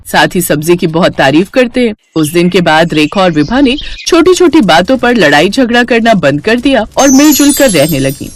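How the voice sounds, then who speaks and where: A woman narrating a scripted moral story as the storyteller. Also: mid-range voice.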